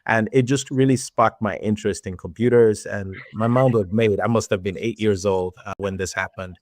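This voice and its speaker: A man in his forties speaks with a Nigerian accent, recalling his path in a measured, reflective way. His voice sits low. The sound is dry and close, with no room around it. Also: steady tone, a little mellow, dry recording. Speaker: podcast guest, tech executive. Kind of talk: casual interview talk.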